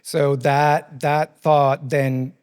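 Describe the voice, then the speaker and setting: A man's voice: a little high, little pitch movement, very slow, a little mellow, clean tone. A man in his forties with a Puerto Rican Spanish accent, Spanish his first language, answering interview questions thoughtfully with many ums. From Puerto Rico. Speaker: guest, furniture dealership president.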